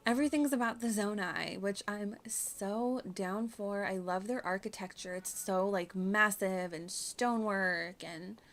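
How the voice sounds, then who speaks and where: A woman's voice: medium pitch, a little slow, few pauses, fairly bright tone. A woman giving casual, playful playthrough commentary as a game streamer, chatty and prone to giggling.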